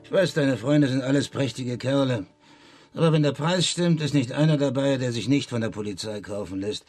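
Ein Schauspieler spricht in einem Hörspiel einen prahlerischen, lebenserfahrenen Buschräuber, derb, volkstümlich und überredend. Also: male voice, mid-range voice, moderate pace, a little mellow, lightly acted. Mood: proud, instructive. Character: boastful, worldly old-hand bushranger mentoring a boy. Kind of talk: radio drama acting.

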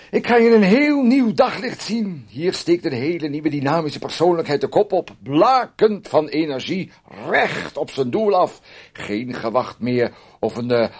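A man's voice: a little high, husky, exaggerated voice. An actor playing a domineering man in a radio play, sarcastically needling and teasing his timid housemate, swinging between outbursts and muttering. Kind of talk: Dutch radio play acting.

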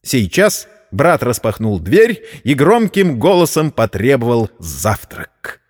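A man narrating a scripted story in an expressive, theatrical way. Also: medium pitch, takes it slowly. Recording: long reverberant hall sound.

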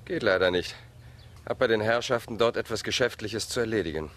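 An actor playing an urbane, ironic gentleman lawyer in a German radio drama, suave and mock-courteous. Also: on the low side, a little fast, lightly acted. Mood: mock-polite.

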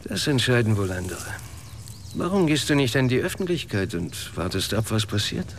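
Cool superior man, mid pitch, precise, pointed, radio drama, faint outdoor noise. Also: sing-song, moderate pace. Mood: cool, reserved. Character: cool, clever, slightly superior man confronting an old acquaintance. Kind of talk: naturalistic radio drama acting.

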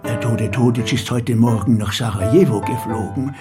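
An actor playing a mild, pious male monastery prior in a radio crime drama, measured and formal. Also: mid-range voice, over music, lightly acted. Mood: guarded. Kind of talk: radio play dialogue.

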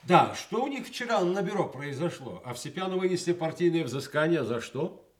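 An actor playing a middle-aged senior official and loyal war comrade in a Soviet radio play, emphatic and probing. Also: male voice, on the high side, sing-song, moderate pace. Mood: probing, brisk.

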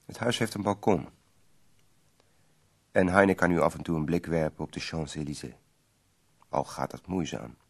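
Deep, gravelly, compassionate companion. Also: male voice, full character voice. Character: compassionate, thoughtful companion of an ailing poet, narrating. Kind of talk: radio play narration and dialogue.

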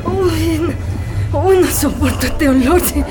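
An actress with a Chilean accent playing a distressed, insistent woman searching for her missing partner in a radio drama, pleading and halting. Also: high-pitched, over music. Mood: horrified, distraught. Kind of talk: Spanish-language radio drama acting.

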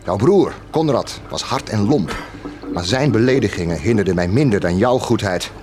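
Casting: male actor as a harsh, scornful rebel for radio drama; lowish voice, commanding and sardonic. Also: lively intonation, normal speed, lightly acted. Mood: bitter, mocking. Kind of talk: Dutch radio play acting, live broadcast.

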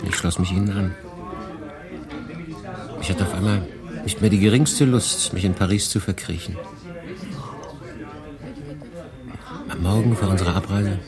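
A male actor playing a world-weary refugee in 1940s France, confiding his story in a dramatic monologue with deliberate pauses. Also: low voice, natural voice. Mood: wry, recounting. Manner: conversational, deliberate pauses, shifting between emphatic and hushed. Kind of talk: dramatic first-person monologue, literary audio drama.

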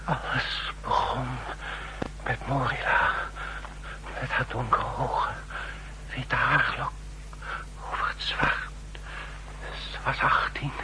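An actor playing a dying, embittered spy with a failing heart, breathy, whispering and weak in a 1960s radio drama. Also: male voice, medium pitch, level tone, slow pace, long pauses, close to an everyday voice. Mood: wistful, fading. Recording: noisy, hissy 1960s radio recording.

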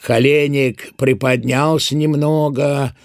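A man's voice: medium pitch, steady tone, slow pace, dry recording, soft background music. Male storyteller, close and dry. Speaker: narrator. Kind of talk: literary narration in audio play.

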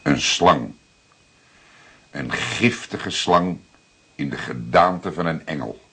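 An actor playing a seasoned older police detective in a Dutch radio drama, explaining things deliberately with dramatic pauses. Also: male voice, deep voice, animated. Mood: grave. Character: seasoned older male police detective, calm, plain-spoken storyteller.

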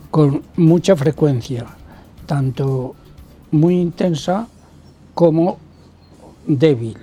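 A man giving an explanatory weather report in halting, broken phrases. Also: fairly high pitch, dark tone. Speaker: weather presenter.

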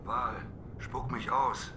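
An actor playing a desperate prophet trapped inside a whale, pleading and bargaining urgently in a radio play. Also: male voice, mid-range voice, flat delivery, slow pace, sharp tone. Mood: desperate, defiant. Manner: urgent, declamatory. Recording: echoey, with faint background noise.

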